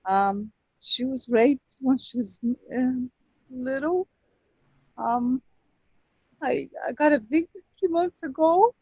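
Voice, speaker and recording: A woman with a Brazilian Portuguese accent, Portuguese her first language, calling in and speaking halting, emotional English with many pauses. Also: sits high, warm tone, husky, no room sound. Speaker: caller. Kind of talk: call-in conversation. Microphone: video call. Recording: lo-fi video call audio.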